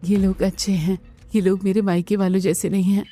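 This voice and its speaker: A woman voicing a gentle, timid young daughter-in-law in a family cartoon, earnest and hesitant. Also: mid-range voice, flat delivery, brisk, faint music behind, natural voice. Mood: wistful. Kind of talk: Hindi family cartoon dubbing.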